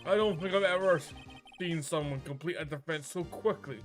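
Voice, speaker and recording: A man's voice: fairly high pitch, normal speed, natural voice. A man voicing a pompous, commanding elderly judge who speaks formally and declaims his rulings in a courtroom game. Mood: impressed. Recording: music under the voice.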